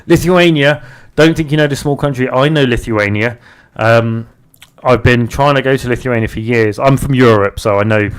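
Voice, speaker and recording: Young British male streamer, mid-pitched, loose rambling chat with frequent restarts. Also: clear, resonant tone. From Britain. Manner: rambling, halting, restarts often while reading viewer chat aloud. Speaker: live streamer and game developer. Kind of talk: casual live stream chat.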